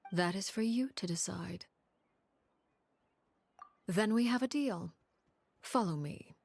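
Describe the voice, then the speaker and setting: An actress playing a cold, composed, ruthless commander in a video game, speaking formally and with measured condescension. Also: female voice, medium pitch, vocal fry, a little mellow, slightly rough, dry recording, heavily acted. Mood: cool bargaining.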